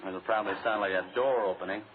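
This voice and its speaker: A man's voice: on the low side, fairly even intonation, fast, close to an everyday voice. An American man acting a tired, wisecracking, grumbling radio actor in 1940s drama style, with a wry, slangy delivery. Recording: tinny old radio recording, background hiss.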